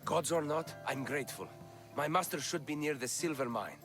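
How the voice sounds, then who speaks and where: A man's voice: a little high, expressive, moderate pace, music in the background, close to an everyday voice. An actor playing a weary, bitter male slave with a downcast manner.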